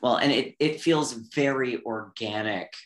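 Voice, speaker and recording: A man's voice: mid-range voice, unhurried, fairly bright tone. A man hosting a casual podcast interview in an encouraging, enthusiastic manner. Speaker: co-host interviewer.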